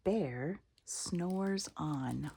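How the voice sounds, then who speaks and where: An older woman reads a children's picture book aloud with expression. Her voice is fairly low and husky, with plenty of creak. She takes the story slowly. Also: mellow.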